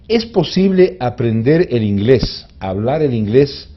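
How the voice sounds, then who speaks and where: A man's voice: medium pitch, very animated, a little slow, lo-fi sound. A man with an Ecuadorian accent hosting a radio interview, speaking in an emphatic, rhetorical, opinionated way. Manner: emphatic, rhetorical, repeats questions for effect. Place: radio studio.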